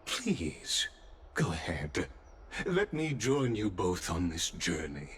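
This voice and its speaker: Slow, deep, courteous enigma. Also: male voice, very animated, a bit bright, husky, heavily acted. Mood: reverent, inviting. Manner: formal, polite, unhurried and theatrical. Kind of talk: video game voice acting. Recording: background music behind the voice.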